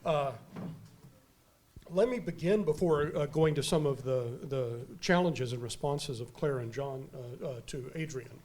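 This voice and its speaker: A man with a loud, emphatic voice giving an unscripted keynote talk at a conference. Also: medium pitch, unhurried. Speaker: keynote speaker. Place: conference hall.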